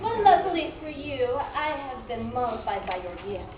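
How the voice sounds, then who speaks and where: A woman's voice: high voice, sing-song, normal speed. Haughty evil queen, radio play, swoopy voice, echoey muffled recording. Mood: smug. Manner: theatrical, smug. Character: haughty female villain queen in her hidden lair. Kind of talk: live comedy radio play acting. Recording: noisy muffled echoey room, distant.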